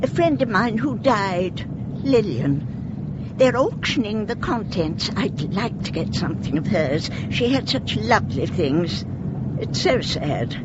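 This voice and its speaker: A woman's voice: mid-range voice, big pitch swings, slow pace. An actress playing a genteel, wistful, eccentric upper-class English lady in her eighties in a British radio play, with a polite, refined manner. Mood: gently wistful. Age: 80s. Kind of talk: British radio drama acting. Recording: muffled radio recording with heavy vehicle noise.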